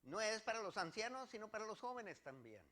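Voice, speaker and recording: A man's voice: sits high, very animated, fairly quick, sharp tone. A man with a Mexican accent preaching as a pastor, teaching scripture step by step to a congregation with emphatic, repeated phrases.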